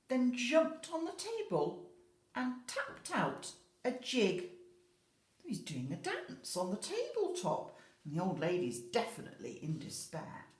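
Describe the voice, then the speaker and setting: A woman teacher telling a children's story expressively, pausing to explain and question as if to small children. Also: on the high side, a bit bright. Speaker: infant school teacher reading a story. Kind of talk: animated children's storytelling with asides. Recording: echoey room.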